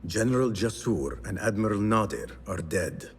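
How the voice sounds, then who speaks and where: An actor playing a solemn, resolute assassin in a video game, controlled and earnest. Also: male voice, on the low side, lively intonation, moderate pace, slight background noise, lightly acted. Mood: controlled, grave. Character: solemn, resolute male assassin of a secret order. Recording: loud music behind the voice.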